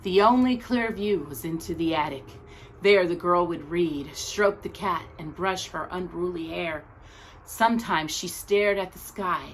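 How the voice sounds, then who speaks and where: A woman reading a children's picture book aloud as a storyteller, with expressive narration and deliberate, dramatic pauses between short phrases. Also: lowish voice, a little hiss or hum, rough recording.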